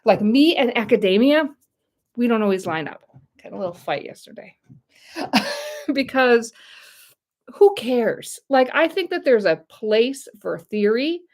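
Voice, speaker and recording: A middle-aged American woman chatting casually on a livestream, rambling and talking straight to her viewers. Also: a little high. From United States. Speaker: YouTube channel host.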